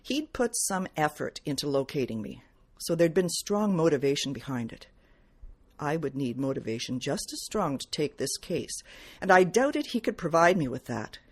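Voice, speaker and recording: A woman reading first-person detective fiction aloud as its author, in measured, clearly phrased narration. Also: low voice, very animated, a little mellow. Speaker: author reading from own novel.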